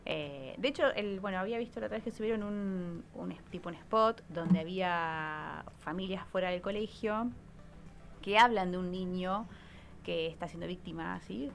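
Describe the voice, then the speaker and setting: A woman's voice: fairly low pitch, moderate pace, creaky, a little hiss or hum. A woman with an Argentine accent talking conversationally as an expert radio guest, explaining things and often checking with little tag questions. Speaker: psychologist guest columnist.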